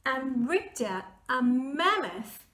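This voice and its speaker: Animated woman reading a children's story. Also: high-pitched, takes it slowly. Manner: dramatic, playful delivery. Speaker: author reading own book. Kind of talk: expressive children's storybook narration. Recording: roomy echo, music behind.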